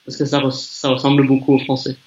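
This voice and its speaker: A man from France chatting in a relaxed, talkative way with a language learner over a video call. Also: medium pitch, fast, lo-fi sound. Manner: relaxed, chatty, with some restarts. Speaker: conversation partner. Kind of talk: casual conversation.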